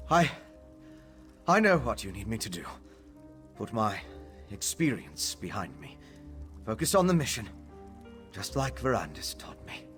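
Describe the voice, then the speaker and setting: A man's voice: on the low side, a bit bright. A man playing an earnest, troubled but focused scholarly alchemist in a fantasy video game, explaining things thoughtfully and carefully. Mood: resolute. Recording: prominent game music behind the voice.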